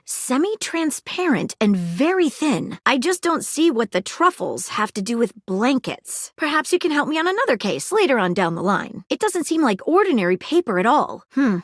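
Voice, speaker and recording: An eager lady detective from a video game, with a high, swooping voice in a dry, close recording. She is earnest and precise, phrasing things politely and a little formally, with an awkward romantic streak. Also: big pitch swings, moderate pace, few pauses, no room sound. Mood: thoughtful, curious. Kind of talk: video game character voice acting.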